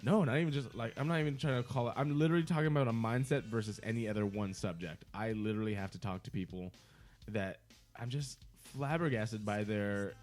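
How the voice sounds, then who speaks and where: A man's voice: fairly low pitch, a little fast, a little mellow, faint music behind. A man co-hosting a podcast in casual chat, swearing freely and mimicking the people he argues with. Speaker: podcast co-host.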